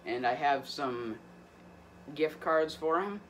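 A man's voice: on the high side, lively intonation, slow pace, fairly bright tone. A teenage male prank caller speaking politely and hesitantly in a casual phone conversation, recorded in a small indoor room. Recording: faint background noise, room camera.

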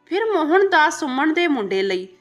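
A woman's voice: high voice, very animated, moderate pace, soft background music. A woman narrating an emotional moral story from a script as a storyteller.

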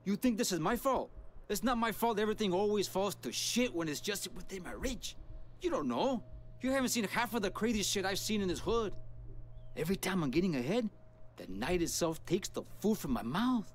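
An actor playing a hot-tempered, paranoid gangster in a video game, with a Mexican Spanish accent and a swaggering, foul-mouthed delivery. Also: male voice, high-pitched, sing-song, a little fast. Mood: angry, agitated. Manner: swaggering, swearing, code-switching into Spanish. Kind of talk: video game character acting.

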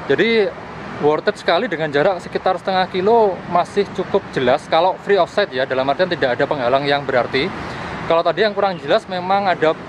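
A man's voice: on the high side, fairly quick. A young man, explaining things casually and conversationally to camera as a gadget reviewer. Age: young adult. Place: outdoors in a street and park. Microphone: handheld camera at arm's length. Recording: clear outdoor street and vehicle noise.

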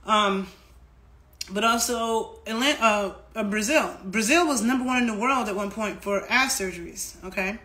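A woman's voice: medium pitch, a bit bright. A woman, talking candidly to a phone camera at home in a chatty vlog. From New York. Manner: candid, chatty, frequent fillers and asides. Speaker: fitness vlogger. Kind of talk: casual talk to camera. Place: indoor room at home.

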